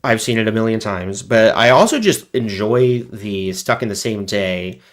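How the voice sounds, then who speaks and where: A man with a fairly low voice hosts a casual livestream while sick with a cold. He rambles loosely, cracks jokes and pokes fun at himself. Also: lively intonation, normal speed. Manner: rambling, joking, self-deprecating. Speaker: livestream host.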